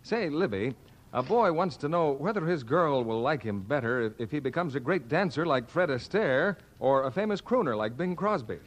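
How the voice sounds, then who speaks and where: A man's voice: a little high, expressive, normal speed. A man with an American accent delivering scripted 1940s radio announcements and advertising patter.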